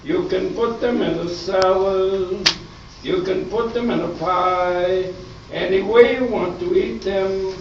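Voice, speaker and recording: Man as high singing banana mascot, very echoey. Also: slow, background noise. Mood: cheerful. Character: singing cheerful banana mascot in sponsor jingle. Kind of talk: sung commercial jingle in amateur radio play. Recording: very echoey, distant, muffled stage recording.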